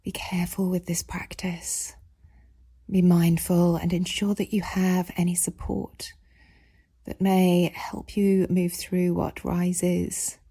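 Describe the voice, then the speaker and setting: A woman guiding a yoga nidra meditation, speaking softly and soothingly in a breathy voice with long pauses between phrases. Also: on the low side, level tone, dry recording, clean studio sound. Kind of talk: guided meditation narration.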